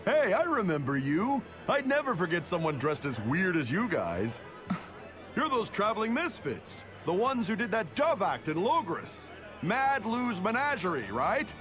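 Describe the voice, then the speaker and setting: An eager merchant, high for a man and very animated. He gushes and wheedles like a pushy admirer in a video game, with prominent music behind. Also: unhurried. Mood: delighted. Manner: excitable, wheedling. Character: eager, pushy, gushing male port merchant fan. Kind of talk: video game character acting.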